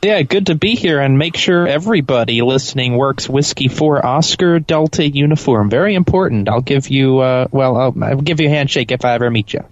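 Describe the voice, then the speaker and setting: A man's voice: medium pitch, fairly quick, hardly any pauses, no echo. A young man calling in to a show, chatting casually over a lo-fi line. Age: young adult. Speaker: caller. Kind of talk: casual chat. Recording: dull, lo-fi call-in line.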